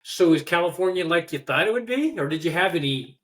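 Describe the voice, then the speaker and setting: A podcast host interviewing casually, with halting, hesitant phrasing. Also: medium pitch, lively intonation, fairly bright tone. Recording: roomy, echoing sound.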